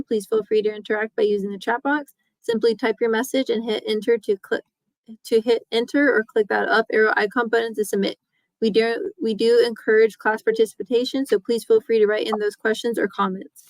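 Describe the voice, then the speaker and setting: A woman facilitating a webinar, reading a scripted introduction in a steady, procedural way. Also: a little high, dry recording, low-quality recording. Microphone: webinar microphone.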